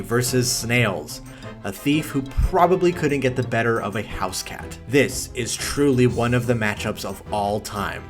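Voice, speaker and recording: Wry young man mocking a film. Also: medium pitch. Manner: wry, mocking asides with swearing. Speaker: film reviewer. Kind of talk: sarcastic movie review commentary.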